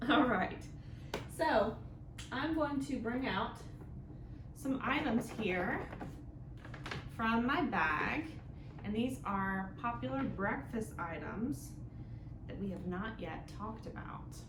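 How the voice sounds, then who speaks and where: Slow-paced woman hosting children's storytime, echoey room. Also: a little high, animated. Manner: simple, instructive phrasing with frequent questions and check-ins. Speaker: children's library storytime host. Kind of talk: interactive storytime for young children, with songs and guessing games. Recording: echoey room with clear background noise.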